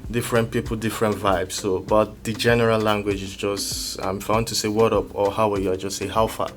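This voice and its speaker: A man with a Nigerian accent answering casually as an interview guest, explaining things haltingly. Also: fairly low pitch, little pitch movement. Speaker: music producer, interview guest. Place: music studio. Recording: music playing behind the voice.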